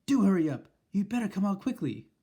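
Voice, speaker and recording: A man voicing an elderly grandmother with a high, calm and composed voice. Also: moderate pace, husky. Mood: urgent. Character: cool, kindly old grandmother, high-voiced.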